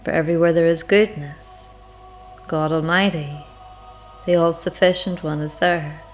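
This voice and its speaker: A woman guiding a spiritual meditation in an unhurried, reflective, measured way, with long pauses between phrases. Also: low voice, fairly even intonation, poor audio. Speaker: guided meditation leader. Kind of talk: slow spiritual guided meditation narration. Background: music.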